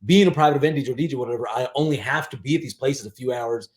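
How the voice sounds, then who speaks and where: A man in his forties talking casually as a podcast guest, emphatic, with halting false starts and some swearing. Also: medium pitch. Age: 40s. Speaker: podcast guest, DJ and aspiring filmmaker. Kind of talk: casual interview chat.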